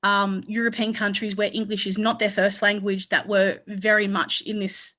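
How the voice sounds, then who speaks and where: A woman's voice: medium pitch, level tone, normal speed, pushed and bright. An Australian woman speaking on a conference panel, rambling, with frequent restarts and fillers.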